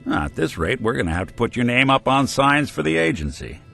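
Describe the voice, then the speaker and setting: A man's voice: medium pitch, very animated, a little fast, vocal fry, bright, forceful tone, soft background music, exaggerated voice. An actor playing a weary male synth private detective with a dry, laid-back wit, in video game dialogue. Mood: wry.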